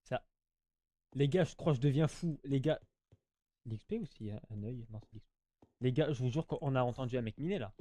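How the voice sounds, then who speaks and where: A man's voice: mid-range voice, a little fast, long pauses, dark tone, no echo. A man giving casual, slangy gameplay commentary, chatting to his viewers and often repeating himself. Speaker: gaming YouTuber.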